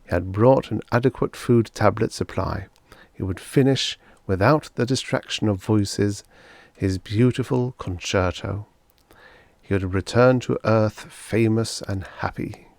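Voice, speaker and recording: Low gravelly male audiobook narrator. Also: a little mellow, no room sound. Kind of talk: scripted story narration.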